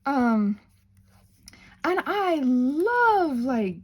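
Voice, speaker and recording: A woman's voice: high voice, sing-song, drawn-out words. A woman chatting casually as she hosts a craft video, playful and self-deprecating, drifting off on tangents and thinking aloud while she works. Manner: digressive, thinking aloud while working with hands. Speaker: craft and ASMR channel creator, host. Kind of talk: casual rambling craft chat.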